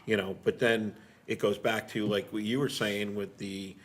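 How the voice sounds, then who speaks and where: A man's voice: fairly low pitch, flat delivery, moderate pace. A man chatting casually as a firefighter guest, reflective and repeating phrases as he tells stories from the job. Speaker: firefighter and driver being interviewed. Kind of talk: casual storytelling.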